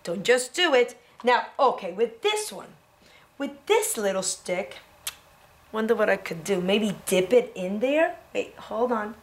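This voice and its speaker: A middle-aged woman teaching preschoolers in a classroom, talking young children through each step in an encouraging, animated way. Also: medium pitch, measured pace. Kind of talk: children's educational demonstration. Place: indoor classroom.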